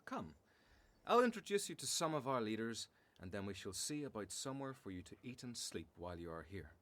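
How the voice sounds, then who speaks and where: Hospitable religious brother for biblical radio drama male actor: mid-pitched, swooping intonation, courteous and welcoming. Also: sing-song, a little fast, a bit bright. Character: welcoming, hospitable brother of an ascetic desert community. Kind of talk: radio Bible drama acting.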